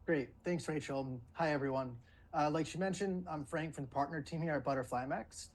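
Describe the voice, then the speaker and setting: A man with a slightly husky voice gives a scripted product presentation in a webinar. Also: a little high, a little fast, rough recording. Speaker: company presenter.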